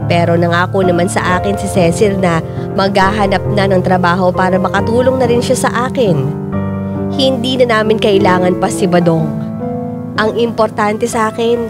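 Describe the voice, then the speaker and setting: A woman's voice: mid-range voice, fairly even intonation, normal speed, close to an everyday voice. An actress playing a resolute young mother who earnestly narrates her own story in a radio drama. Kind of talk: scripted first-person radio drama narration. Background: loud piano music.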